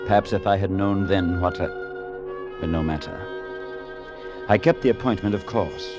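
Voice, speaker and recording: A man's voice: low voice, lightly acted. A man as a reflective priest narrator. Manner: measured, reflective, gently amused. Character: thoughtful male priest narrator. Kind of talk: 1940s radio acting. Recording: dull old radio broadcast with faint music.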